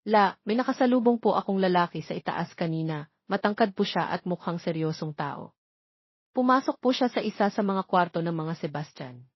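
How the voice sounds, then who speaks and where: Woman voicing a shy, husky Filipina teenager. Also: lowish voice, expressive, moderate pace. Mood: curious. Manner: polite, nervous, earnest. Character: shy, flustered nineteen-year-old housekeeper's granddaughter. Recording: dull, roomy, lo-fi audio.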